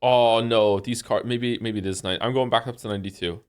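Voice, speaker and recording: A man from Wales livestreaming, thinking aloud in a rambling, excitable, incredulous way as he chats casually with viewers during a game. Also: mid-range voice, a little fast, smooth. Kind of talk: casual chat with stream viewers while playing a guessing game.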